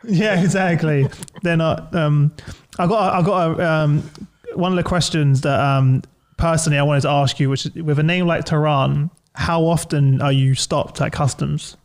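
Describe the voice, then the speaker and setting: A man's voice: fairly high pitch, steady tone, normal speed. A man chatting casually as a podcast host. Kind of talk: casual chat.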